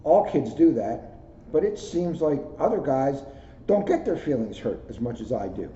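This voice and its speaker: Mellow-voiced man in an echoey room. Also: medium pitch, very animated, moderate pace. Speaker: police officer reading a children's book aloud. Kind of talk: read-aloud storytelling with casual asides. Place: indoors, second floor of a borough hall. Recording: roomy echo, dull lo-fi sound, clear noise.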